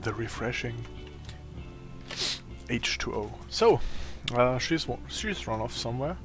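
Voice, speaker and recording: A man with a raspy, tired voice giving casual gameplay commentary, thinking aloud as he plays. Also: medium pitch, expressive, over music. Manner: slow, thinking aloud while playing. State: voice strained from a long day of talking.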